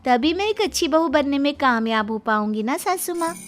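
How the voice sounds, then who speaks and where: Woman as sweet, high-voiced young daughter-in-law. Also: animated, moderate pace. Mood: mock innocent. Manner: polite, pointedly earnest.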